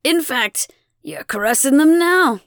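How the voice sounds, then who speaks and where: A woman's voice: sits high, lively intonation, unhurried, no room sound, clean studio sound, voice pushed up. A woman voicing a nervous, obsequious male brewer who speaks in an old-fashioned way. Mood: flustered. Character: nervous, fawning middle-aged male brewer.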